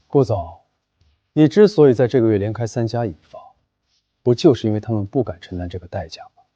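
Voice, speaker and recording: A male actor plays an unflappable company boss in an animated web drama. He is authoritative and precise, his voice moving in a lively way across its range as he makes his points in businesslike phrases. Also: on the low side, fairly quick, no room sound, lo-fi sound. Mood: confident, persuasive.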